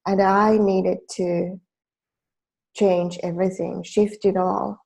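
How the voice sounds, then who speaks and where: A woman's voice: medium pitch, little pitch movement, takes it slowly, vocal fry, a little mellow, slightly rough. A woman from Finland in her forties with a Finnish accent, giving a self-help training talk as a life coach, earnest and full of fillers and restarts.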